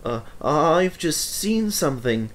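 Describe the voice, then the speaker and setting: A man's voice: on the high side, very animated, slow pace, pitched above the performer's own voice. A man voicing a timid-sounding tram engine in a children's storybook, hesitant and stammering. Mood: shaky, frightened.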